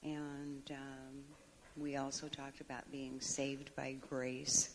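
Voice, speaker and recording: A woman sharing thoughts in a church group discussion, earnest and halting, with what she calls a loud voice. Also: extremely low pitch, little pitch movement, a little hiss or hum, low-quality recording.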